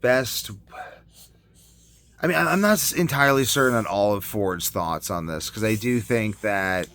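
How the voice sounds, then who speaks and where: A man talking casually as a video blogger in a rambling monologue, halting and often trailing off mid-thought. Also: lowish voice, expressive, fairly bright tone. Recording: noticeable background noise.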